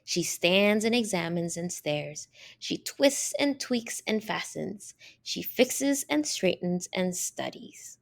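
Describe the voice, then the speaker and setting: A woman reading a children's picture book aloud in an expressive, deliberate manner with drawn-out emphasis on key words. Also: mid-range voice, gravelly. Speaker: storybook reader.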